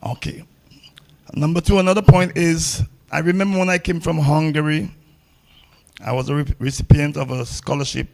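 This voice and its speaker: A man's voice: on the high side, fairly even intonation, normal speed, gravelly, darkish tone. A man giving a public ceremony speech as a table tennis coach, blunt and repeating phrases for emphasis.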